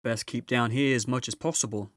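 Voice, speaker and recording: A man's voice: medium pitch, steady tone, brisk, no room sound, clean studio sound, close to an everyday voice. Man doing a blunt, impatient beaver husband. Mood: practical.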